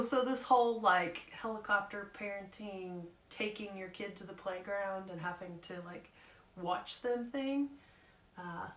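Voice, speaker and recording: A woman talks slowly, her voice a little hoarse. She rambles nervously through a casual live talk, full of ums and restarts. The room around her echoes noticeably. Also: medium pitch, a bit bright. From Oklahoma. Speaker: speaker giving a live talk.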